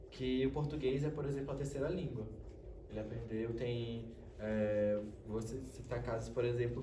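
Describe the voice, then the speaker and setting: Young Brazilian man, roomy distant recording. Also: medium pitch. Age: young adult. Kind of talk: informal spoken panel presentation. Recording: roomy, distant mic with faint noise.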